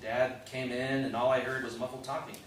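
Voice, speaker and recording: A man's voice: medium pitch, little pitch movement, natural voice. Hesitant concerned brother played by a man, distant echoey sound. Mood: uneasy. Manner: halting, conversational. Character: concerned, hesitant adult sibling, gently prodding confidant. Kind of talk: staged play reading. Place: live staged reading in an echoey room. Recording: very echoey room, distant mic, faint noise.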